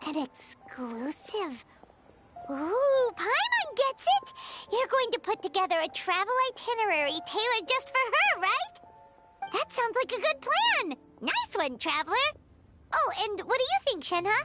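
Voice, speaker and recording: A woman voicing a small, chirpy, eager childlike fairy guide in a video game, with a squeaky, excitable delivery. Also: unhurried, full character voice. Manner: speaks of herself in third person, excitable. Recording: music playing behind the voice.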